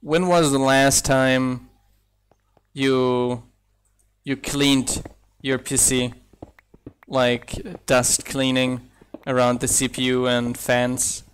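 Man with slight German accent, slow. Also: medium pitch, little pitch movement, slight background noise. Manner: chatty, thinks aloud with restarts and laughs. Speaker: mod developer streaming gameplay. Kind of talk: casual live-stream chat while playing.

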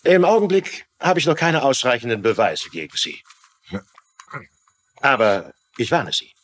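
A man's voice: mid-range voice, very animated, a little slow, a bit bright, a little hiss or hum. An actor playing a stern, suspicious male police commissioner in a TV crime drama, formal and admonishing. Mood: warning. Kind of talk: German-dubbed TV crime drama acting.

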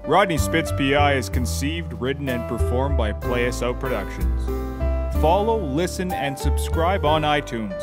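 A man's voice: medium pitch, lively intonation, unhurried. A man working as announcer, reading scripted podcast credits. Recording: loud music under voice.

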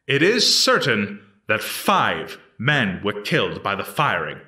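A man playing an earnest eighteenth-century prosecutor in a historical reenactment, addressing a jury in deliberate, declamatory, formal courtroom oratory. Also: mid-range voice, bright, forceful tone, full character voice. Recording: echoey room, distant microphone.

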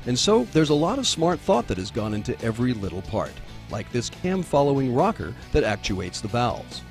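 A man's voice: mid-range voice, sing-song, normal speed. A man reading scripted promotional narration for a company training film. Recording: music playing behind the voice. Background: background music.

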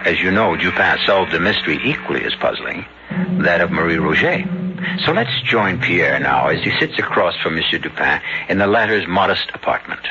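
A man hosting and narrating a radio mystery in a measured, formal storytelling manner. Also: low-pitched, lively intonation, noisy background. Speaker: host and narrator. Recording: dull old radio recording. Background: music.

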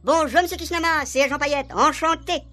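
A joking sidekick sergeant with a Réunionese accent. The voice rides high and is cheeky and eager, made for radio comedy, with faint music behind. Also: male voice, moderate pace, pushed and bright. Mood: eager, jokey. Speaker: actor in a radio serial. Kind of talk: French and Creole radio comedy acting.

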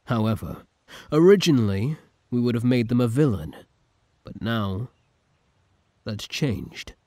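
A man playing an obsessive, lovesick older villain from the future in an audio roleplay, commanding and intimate, with a husky voice. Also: mid-range voice, lively intonation, unhurried, darkish tone, no echo, studio quality, close to an everyday voice. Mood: commanding, possessive. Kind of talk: scripted ASMR roleplay acting.

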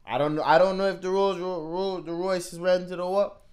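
A man in his twenties giving casual, slangy and animated commentary as he reacts to music. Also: high voice, normal speed. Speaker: reaction video host. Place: small indoor room at home. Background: music from the video he is watching.

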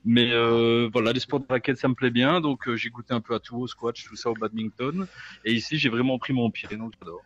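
A man's voice: medium pitch, a little fast, no echo, dull audio. A man in his late forties with a light southern Belgian accent, a player talking in an easygoing way. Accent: southern Belgian French. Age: 40s. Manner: relaxed, easygoing, hesitant fillers.

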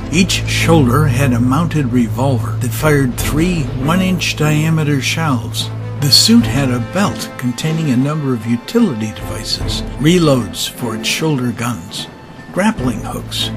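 A man's voice: mid-range voice, natural voice. A man narrating a steampunk adventure audio drama as a scripted, dramatic storyteller. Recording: music under the narration.

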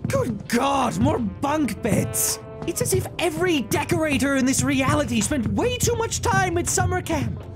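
A woman's voice: high voice, very animated, unhurried, hardly any pauses, over music. A woman voicing a sassy, theatrical male elf wizard with a dramatic, sarcastic delivery. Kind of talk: comic dub voice acting.

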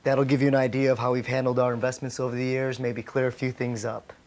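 A man's voice: medium pitch, level tone, fast, a little mellow, close to an everyday voice. An actor in his thirties playing a slick, brash investment manager who is evasive under pressure, in a corporate training-film drama. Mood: smooth, placating. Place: indoor office.

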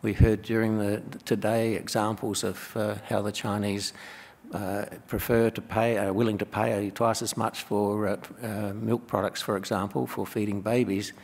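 Older man, conference panelist, halting and measured delivery, reverberant hall sound. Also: fairly low pitch, little pitch movement, gravelly, a little mellow. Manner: measured, hesitant with many fillers. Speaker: panellist, economics professor. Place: conference stage with panel table. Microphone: table microphone.

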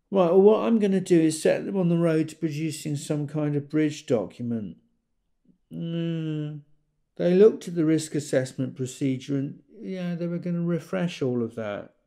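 A man's voice: on the high side, animated, unhurried, gravelly, dark tone. A man playing a busy, jargon-heavy corporate consultant on a one-sided call in an audio drama, businesslike but hesitant.

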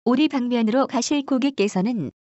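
A woman reading scripted subway announcements as a train announcer, formally and with measured enunciation. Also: fairly high pitch, warm tone, no echo, lo-fi sound.

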